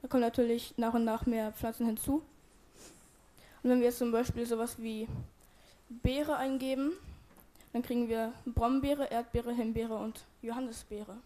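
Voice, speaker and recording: A woman's voice: a little high, fairly even intonation, moderate pace, warm tone. A woman presenting her team's web project and giving a live demo, with many hesitant fillers. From Germany. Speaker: student presenter.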